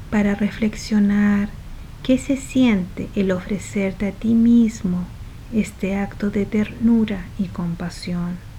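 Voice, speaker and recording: A woman guides a meditation in a soft, relaxed, mellow voice. She speaks slowly, one short phrase at a time, with breathy, trailing endings. A faint noise and low rumble sit behind her. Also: low-quality recording.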